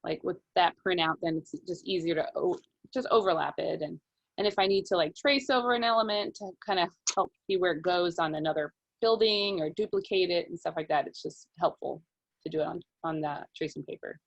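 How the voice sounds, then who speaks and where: A woman's voice: lowish voice, a little fast, gravelly, muffled recording. A woman speaking as an illustrator guest in a casual interview, explaining her process step by step in informal language.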